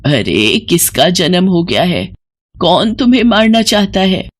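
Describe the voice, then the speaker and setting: A woman's voice: on the low side, sing-song, a little slow. A woman voicing an alarmed, anxious young sister in a horror storybook tale. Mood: worried.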